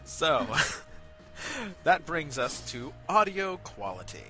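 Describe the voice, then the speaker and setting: A man co-hosting a casual review show, giving measured, agreeable commentary with some hesitation. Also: a little high, sing-song, sharp tone, faint music behind. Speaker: co-host of an amateur review show.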